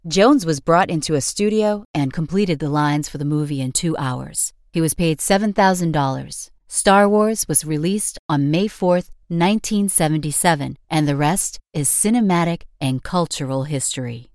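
Low-voiced woman narrating a podcast. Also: moderate pace, hardly any pauses, a little mellow, no room sound, studio quality. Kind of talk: scripted narration. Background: light background music.

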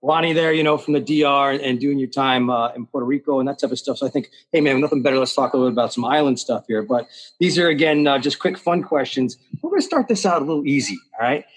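Male panel host, mid-pitched, easygoing, full of "uh" and "you know". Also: quick pace, low-quality recording. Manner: relaxed, many "uh" and "you know" fillers. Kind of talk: hosting a panel discussion.

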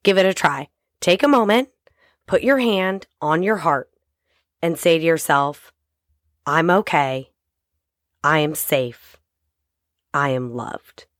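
A woman in her thirties hosting a short motivational podcast, hoarse and raspy from a cold, talking straight to her listeners in an encouraging way. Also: deep voice, slow pace, long pauses, no echo, soft background music. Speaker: podcast host.

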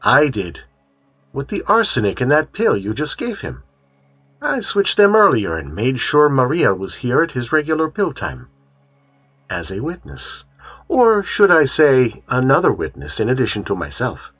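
A man voicing a calm, contemptuous young man who coldly explains a murder in a mystery audiobook. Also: medium pitch, a little slow, slightly rough, no room sound, voice pushed up, exaggerated voice. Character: cold, scheming young man with a gun.

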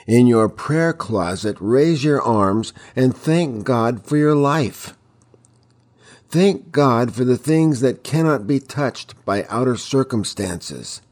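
A man's voice: mid-range voice, animated, slow pace, a little mellow. A man delivering a scripted devotional teaching, exhorting listeners with reflective rhetorical questions.

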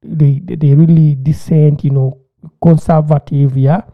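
Animated man on a tinny line. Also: fairly high pitch, unhurried. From Uganda. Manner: animated storyteller, repeats words, acts out his own reactions. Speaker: podcast co-host. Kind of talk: casual chat.

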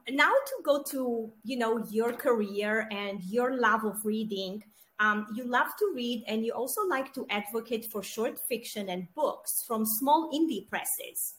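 A woman's voice: on the high side, a bit bright. A woman from Romania, co-hosting a casual interview over a video call and laughing often. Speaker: podcast co-host.

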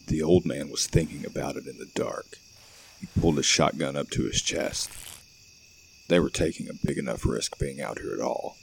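Super deep gravelly guy narrating a scary audio drama, bit of background noise, crickets. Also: moderate pace. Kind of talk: scripted horror audio play narration.

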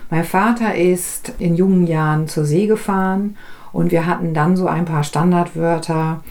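A woman from northern Germany co-hosting a language podcast, telling stories from home calmly with small restarts. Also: lowish voice, normal speed. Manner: calm, reflective storytelling with small restarts. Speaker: podcast co-host, business German teacher. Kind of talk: conversational podcast chat.

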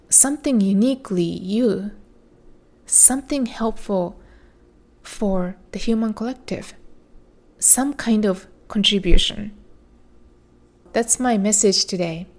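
A woman hosting a podcast, talking through a reflective solo episode. Her voice is soothing and measured, and she speaks unhurriedly at a mid pitch. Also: a little mellow. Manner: slow, soothing, measured delivery. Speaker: podcast host.

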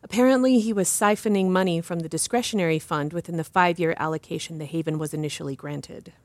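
A woman playing an earnest, principled doctor who answers interview questions in a formal, precise and measured way. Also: fairly low pitch, a little mellow, no room sound, natural voice. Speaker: interview guest. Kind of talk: scripted drama interview.

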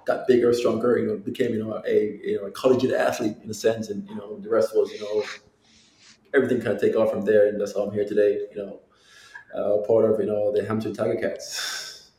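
A man's voice: lowish voice, level tone, a little fast, warm tone, echoey room, rough recording. A man in his thirties from Jamaica, speaking casually as an athlete being interviewed, often repeating phrases and using verbal tags. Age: 30s. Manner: repetitive, peppered with "you know". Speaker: football player, interview guest.

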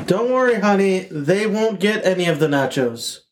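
A man's voice: sits high, expressive, normal speed. A man voicing a flustered husband at the door, who hesitates and stammers with lots of fillers.

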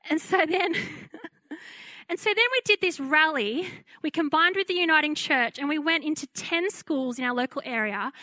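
A woman preacher with a high, bright voice tells her story with lively, animated delivery. She slips into the voices of the people in her story as she goes. The sound is close and dry. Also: dry recording. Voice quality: fairly high-pitched. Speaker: pastor. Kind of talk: testimony sermon.

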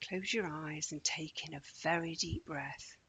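A woman leading a children's guided relaxation in a gentle, soothing, measured manner with deliberate pauses. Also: sits low, steady tone, a little hiss or hum, poor audio. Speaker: meditation guide.

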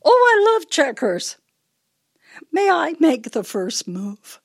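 A confused older wife in a radio drama, with a high, widely swinging voice. She speaks slowly and moves between accusation and childlike politeness. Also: wildly swooping pitch, full character voice. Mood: fretful. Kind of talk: radio play acting.

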